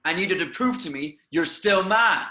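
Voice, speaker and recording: A man playing a controlling, possessive middle-aged father in a home table read, speaking in a low, creeping, threatening way in an echoey room. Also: animated, moderate pace, sharp tone. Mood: menacing. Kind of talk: amateur table read over video call.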